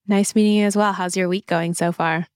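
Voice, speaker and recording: A woman's voice: medium pitch, fairly even intonation, a little fast, clean tone, dry recording, clean studio sound. Upbeat woman podcast host. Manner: upbeat, scripted introductions. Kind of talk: podcast hosting. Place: virtual studio.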